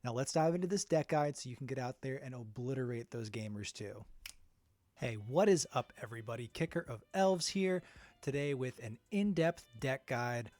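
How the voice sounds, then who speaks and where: A man's voice: medium pitch, moderate pace, a little mellow, dry recording. Nasal, stuffy man explaining game strategy. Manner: upbeat, chatty, with many fillers. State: under the weather, stuffy. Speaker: card game content creator.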